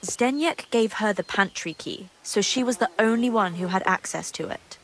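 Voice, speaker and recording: A woman's voice: mid-range voice, normal speed, natural voice, poor audio. A woman playing a gossipy, guarded young monastery maid in a medieval video game drama, secretive and earthy in her talk. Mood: indignant, confiding. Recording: clear background ambient noise.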